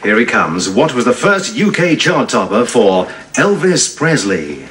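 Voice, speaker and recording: A man's voice: medium pitch, very animated, measured pace, distant mic, noisy background. A man playing a radio phone-in quiz host, with showy, upbeat patter. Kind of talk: sitcom acting.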